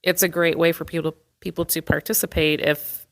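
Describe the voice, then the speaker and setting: A woman with a slightly low voice speaks as an interview guest. She represents an arts center and explains things conversationally. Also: flat delivery, fairly quick.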